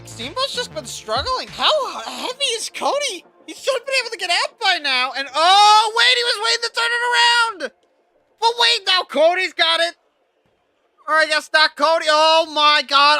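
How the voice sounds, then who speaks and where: An excitable man with a high, very bright and sharp voice, calling a wrestling video game with lots of swearing and laughter. He drops to quick mumbles, then jumps up to near yells. Faint game music plays underneath. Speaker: gaming YouTuber. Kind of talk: casual wrestling game commentary.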